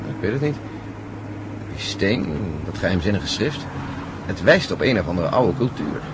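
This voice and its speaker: A curious, wry male friend in a radio play. His voice sits low and his talk is conversational and dryly teasing. A clear hum of vehicle noise runs behind him. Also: sing-song, moderate pace. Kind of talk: radio drama acting.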